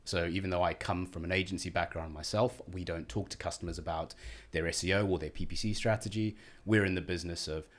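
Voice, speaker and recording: A man with a South African accent talks through his work as a podcast guest. His voice sits very low, and his delivery is relaxed and explanatory, with easy laughter. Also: quick pace. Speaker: podcast guest, head of marketing. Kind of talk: casual business podcast chat.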